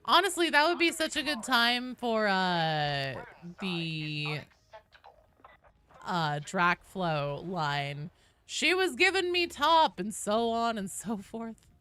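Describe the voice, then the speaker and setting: A woman's voice: mid-range voice, takes it slowly, sharp tone, slight background noise. A woman hosting a casual game live stream, chatting playfully with viewers and breaking into sudden exclamations, with teasing, jokey banter. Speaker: video game streamer and host.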